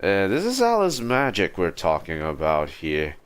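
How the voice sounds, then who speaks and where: Male game streamer: extremely low voice, varied intonation, musing casual commentary, light background noise. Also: wildly swooping pitch, moderate pace, clean tone. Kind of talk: casual live reaction and commentary.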